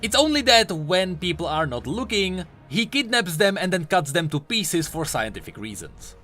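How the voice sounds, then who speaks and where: A man narrates game lore commentary as a video host. His voice is bright and fairly high, with extremely animated, emphatic swings in pitch. Music runs underneath. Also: normal speed. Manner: emphatic, swooping delivery. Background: background music.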